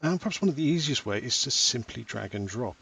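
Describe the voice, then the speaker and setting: A man, a parent, walking through a website step by step in a screen-recorded tutorial, pausing with the odd "uh" as he explains. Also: medium pitch, animated, creaky, a bit bright, husky. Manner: plain step-by-step explaining with frequent "uh" pauses. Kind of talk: screen-recorded software walkthrough.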